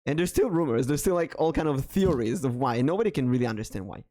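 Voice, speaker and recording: A man's voice: medium pitch, lively intonation, fast talker, dark tone. Hebrew-accented man hosting a casual podcast. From Israel. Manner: storytelling, hesitant with many ums. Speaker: podcast host.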